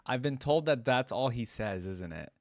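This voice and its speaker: A man's voice: medium pitch, lively intonation, normal speed. A man hosting a reaction video, commenting casually in a slangy, appreciative way. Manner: appreciative, slangy, brief interjections.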